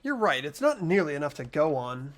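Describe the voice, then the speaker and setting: A man playing an earnest, hesitant, anxious high school boy in an amateur video game dub, narrating and speaking in character. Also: very animated, brisk. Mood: puzzled. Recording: music behind the voice.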